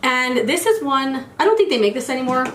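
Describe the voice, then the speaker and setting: A woman's voice: high-pitched, animated, fairly quick, echoey room, noisy background. A woman in her forties chatting casually while doing her makeup, a little congested after a cold, rambling and restarting her sentences. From United States. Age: 40s. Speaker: beauty vlogger. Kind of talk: casual get-ready-with-me chat.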